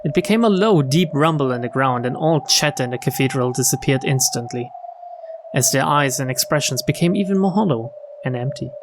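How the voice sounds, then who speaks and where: A man's voice: medium pitch, lively intonation, fairly quick, background noise. A man streaming a game, reading story text aloud and adding casual comments. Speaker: streamer and narrator.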